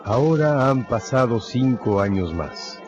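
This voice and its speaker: A man narrating a radio novel in a scripted, dramatic storytelling style with measured phrasing. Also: medium pitch, expressive, lo-fi sound, over music.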